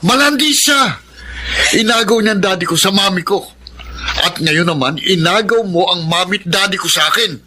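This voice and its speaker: Man playing a bitter, high-pitched rebellious son. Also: normal speed, noisy background, natural voice. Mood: menacing. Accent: Filipino. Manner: sullen, accusing, defiant. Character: bitter, jealous, rebellious son hating his half-sister. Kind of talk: radio drama acting.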